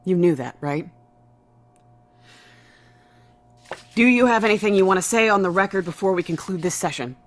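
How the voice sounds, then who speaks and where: Fast-talking, by-the-book woman as a female officer. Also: mid-range voice, lively intonation, close to an everyday voice. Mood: firm. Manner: formal, procedural, unyielding. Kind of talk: naturalistic audio drama acting.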